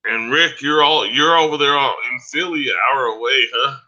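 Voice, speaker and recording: Man, live-stream vlogger, bright tense voice, getting hoarse, rambling chatty talk. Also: medium pitch, normal speed, muffled recording. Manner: loose and rambling, many fillers and restarts; addresses viewers by name, says "man" often. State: voice going hoarse. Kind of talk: casual live-stream chat reading the chat.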